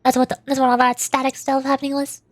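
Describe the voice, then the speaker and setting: A woman's voice: high voice, completely flat delivery, fast talker, no room sound. A woman livestreamer chatting casually over a game in a bubbly, rambling, giggly way. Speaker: livestreamer, VTuber.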